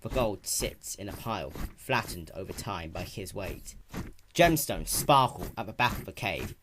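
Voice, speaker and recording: A man with a fairly low voice gives a dramatic reading of a fantasy story. His delivery is intense and scripted, and a steady ambient sound effect runs clearly behind him. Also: moderate pace, background noise. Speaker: amateur story reader. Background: continuous ambient sound effect.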